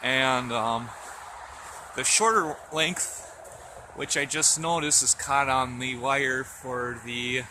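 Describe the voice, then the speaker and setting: A man giving a casual, unscripted show-and-tell as a vlogger outdoors, speaking in a halting way as he thinks aloud. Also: mid-range voice, steady tone. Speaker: hobbyist vlogger. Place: outdoors in a yard in winter. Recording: outdoor camera audio with faint background noise.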